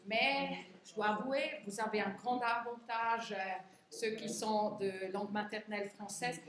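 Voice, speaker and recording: A middle-aged woman from Switzerland giving a talk in French, which is not her first language, hesitating often with fillers and restarts. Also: medium pitch. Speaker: conference speaker. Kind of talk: lecture to union retirees. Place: conference hall. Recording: echoey hall, faint room noise.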